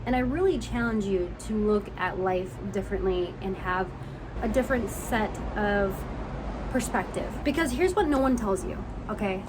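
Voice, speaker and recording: Woman in her 30s doing a pep talk vlog, kinda high voice, punchy and encouraging, traffic noise behind her. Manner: emphatic, encouraging, calls listeners honey and y'all. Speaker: vlogger. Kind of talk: motivational talk to camera.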